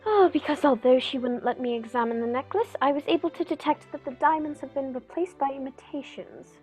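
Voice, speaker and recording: A shrewd gentleman detective acted by a woman in an old-style radio play. The voice is fairly high, courteous and measured, with a knowing self-assurance and faint music behind. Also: lightly acted. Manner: polite, measured, formal, knowing. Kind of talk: 1940s radio play acting.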